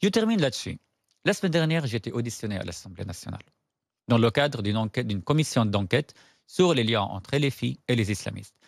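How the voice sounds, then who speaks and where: A man from Syria, an Arabic speaker, speaking as a guest author on a radio talk show in an animated, insistent way, often repeating words as he stumbles. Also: medium pitch, brisk, vocal fry, no room sound, studio quality. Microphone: studio microphone.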